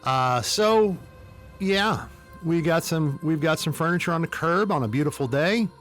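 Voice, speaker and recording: A man's voice: fairly high pitch, lively intonation, a little slow, fairly bright tone, soft background music. A man hosting a podcast, telling humorous stories in a casual, wry, tongue-in-cheek way. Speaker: podcast host. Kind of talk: casual humorous storytelling commentary.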